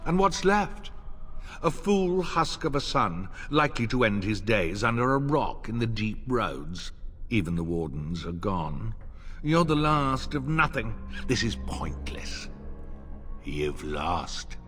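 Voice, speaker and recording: An actor playing a cruel, bitter noble villain in a video game, mocking, theatrical and deliberate. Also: male voice, lowish voice, sing-song, a bit bright, breathy edge, soft background music, full character voice. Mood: cruel, taunting. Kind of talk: video game cutscene acting.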